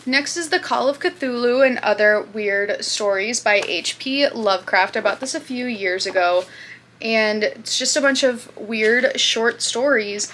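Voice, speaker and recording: A woman's voice: mid-range voice, a bit bright, a little hiss or hum. A woman, hosting her reading channel in casual, chatty talk with lots of fillers. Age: 20s. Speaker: booktube host. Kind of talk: casual chat.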